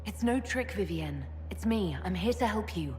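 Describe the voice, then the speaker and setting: A woman acting as a resolute, compassionate game heroine, speaking sincerely at a medium pitch. Her voice carries hall reverb with faint noise behind it. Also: animated, normal speed, natural voice. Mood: reassuring. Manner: sincere, reassuring. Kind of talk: dramatic video game cutscene acting.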